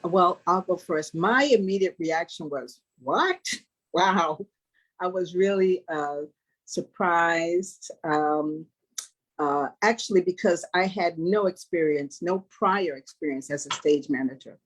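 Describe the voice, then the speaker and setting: A woman in her mid-sixties speaks in a lowish voice at an unhurried pace. She answers as an interview guest, measured and earnest. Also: animated, breathy edge, rough recording. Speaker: stage manager, interview guest.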